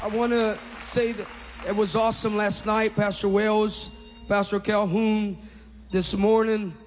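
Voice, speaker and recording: A high-pitched man whose first language is Portuguese preaches with rising fervor, his non-native English plain in the phrasing. His voice rings out in a large hall with a long echo. Soft music hums faintly behind him. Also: flat delivery, measured pace. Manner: impassioned preaching, rising to shouts. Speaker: pastor. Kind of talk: Pentecostal sermon.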